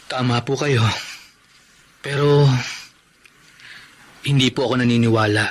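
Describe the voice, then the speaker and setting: A man playing a polite young cousin in a Filipino radio drama, speaking in a gentle, reassuring way. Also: medium pitch, fairly even intonation, unhurried, natural voice. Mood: concerned, reassuring. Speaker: Rodel, the cousin.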